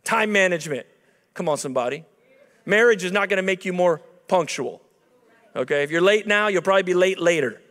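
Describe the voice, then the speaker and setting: A man in his thirties preaches from a lectern on a large, dark auditorium stage, talking to the crowd in a conversational way that climbs into emphatic peaks. His voice sits high for a man. The handheld mic carries the long reverb of the big hall. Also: animated, fairly quick. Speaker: chapel speaker. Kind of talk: sermon. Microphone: handheld microphone.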